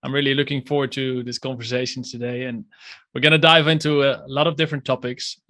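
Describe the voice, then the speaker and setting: Bright-voiced male podcast host. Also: medium pitch, level tone, quick pace. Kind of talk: podcast intro and interview.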